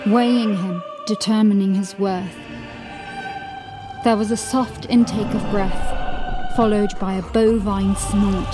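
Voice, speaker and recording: A woman reading scripted horror fiction as narrator, in a dramatic, measured storytelling manner. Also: mid-range voice. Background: music.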